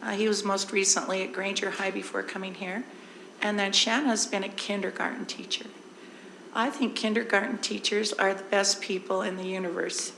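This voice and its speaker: Hoarse woman, district presenter, easygoing and explanatory, in a roomy hall with faint noise. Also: medium pitch, a bit bright. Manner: conversational, explains with everyday examples. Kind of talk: informal presentation at a board meeting. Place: board meeting room.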